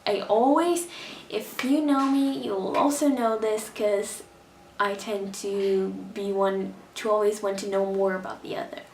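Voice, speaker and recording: A young Brazilian woman whose first language is Portuguese, speaking English with a mixed Brazilian, American and British accent in a chatty, animated vlog, talking from her bedroom. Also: mid-range voice, a little slow, echoey room, a little hiss or hum. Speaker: vlogger. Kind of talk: casual direct-to-camera vlog.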